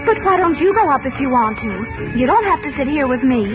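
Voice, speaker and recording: High-voiced, eager teenage daughter, old radio. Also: female voice, expressive, a little fast. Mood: dreamy, casual. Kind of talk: 1940s radio drama acting. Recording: old muffled radio recording, music behind.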